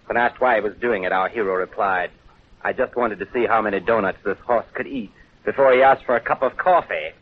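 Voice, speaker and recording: Actor as brash newspaper boss, tinny radio. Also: male voice, fairly low pitch, brisk, slight background noise, lightly acted. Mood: amused, sardonic. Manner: wisecracking, hard-boiled. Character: brash, sardonic big-city newspaper boss. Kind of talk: 1930s radio acting. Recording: tinny, phone-like 1930s radio broadcast.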